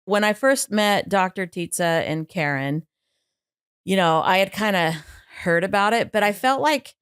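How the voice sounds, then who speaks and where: A woman vocal coach, a guest on a podcast, telling stories in a lively, chatty way with plenty of fillers. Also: mid-range voice, a little slow, clear, resonant tone, no room sound, studio quality. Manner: animated, chatty storytelling with many fillers. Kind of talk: casual podcast interview.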